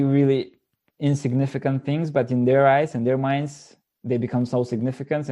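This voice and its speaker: A man's voice: medium pitch, dark tone. A man from Bulgaria speaking casually as an interview guest, hesitantly searching for words. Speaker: podcast guest, literary translator.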